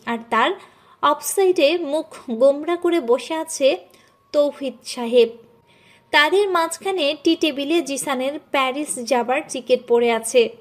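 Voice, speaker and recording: High-pitched woman narrating a story.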